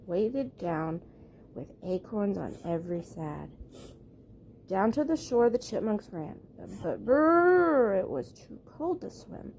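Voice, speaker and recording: A woman's voice: mid-range voice, a little mellow, a little hiss or hum. A woman reading a children's storybook aloud at bedtime, as the narrator. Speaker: storybook reader.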